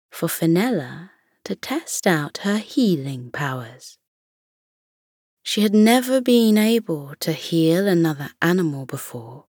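A woman narrating a children's bedtime story in a slow, soothing style. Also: fairly low pitch, a little mellow, no echo, clean studio sound.

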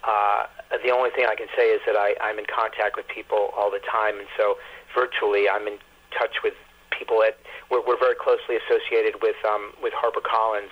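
A man speaking as an author guest in a radio interview, haltingly, often repeating words as he thinks. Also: lowish voice, husky. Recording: muffled lo-fi phone-like line.

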